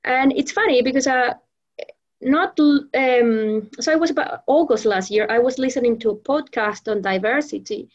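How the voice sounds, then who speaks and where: Female guest from Venezuela, Spanish first language, slightly high pitch, halting delivery, dull lo-fi recording. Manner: hesitant, many fillers and restarts. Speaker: guest, professional trainer and mentor. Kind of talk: interview conversation.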